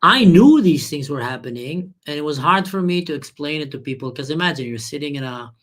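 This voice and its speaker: A man's voice: on the high side, expressive, brisk, a bit bright. A man from Israel who hosts an interview and talks in an earnest, emphatic way. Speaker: interviewer, host. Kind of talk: casual interview conversation.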